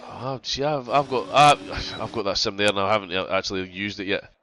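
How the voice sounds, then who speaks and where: A man's voice: on the low side, brisk, pushed and bright, slight background noise. A man doing casual sim racing commentary, congested from a cold, chatty and prone to repeating himself.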